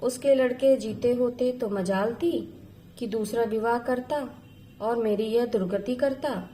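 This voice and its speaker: A woman's voice: fairly high pitch, unhurried, dark tone, slight background noise, poor audio. A woman storyteller reading a literary story as scripted narration.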